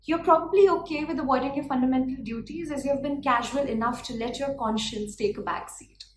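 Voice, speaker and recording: A woman's voice: sits high, brisk. A woman of twenty with an Indian accent, delivering a prepared, earnest speech full of rhetorical questions. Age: 20s. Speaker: speaker. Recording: echoey room, faint background noise.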